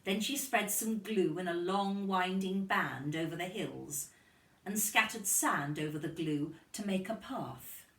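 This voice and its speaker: A woman narrating a storybook for young children like a kindergarten teacher, expressive and deliberate. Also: lowish voice. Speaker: kindergarten teacher reading aloud. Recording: roomy, distant microphone.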